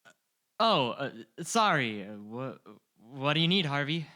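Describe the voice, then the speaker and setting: A man's voice: a little high, very animated, unhurried, pushed and bright, exaggerated voice. A man voicing a young, earnest, absent-minded male shop clerk, hesitant and polite, in an amateur audio drama. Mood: flustered.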